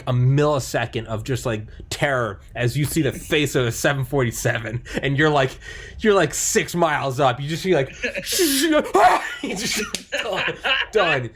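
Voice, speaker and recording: A man in casual chat who talks excitedly, rambles, restarts often and swears a lot. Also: on the high side. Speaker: gamer chatting.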